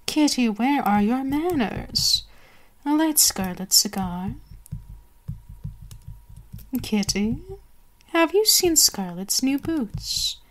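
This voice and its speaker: A woman voicing a haughty, cruel and seductive vampire queen in an ASMR roleplay, savoring her commands and gloating. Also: on the high side. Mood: imperious, flirtatious, then cruel, taunting.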